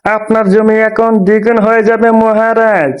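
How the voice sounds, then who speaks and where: High-pitched scheming royal minister, played by a man. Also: level tone, normal speed, natural voice. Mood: sly, gloating. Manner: fawning, scheming. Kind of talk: moral story cartoon dialogue.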